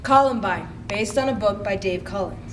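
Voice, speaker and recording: A woman's voice: medium pitch, expressive, moderate pace, lightly acted. A young woman narrating a readers theater piece in a declamatory manner. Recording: distant mic, clear background noise.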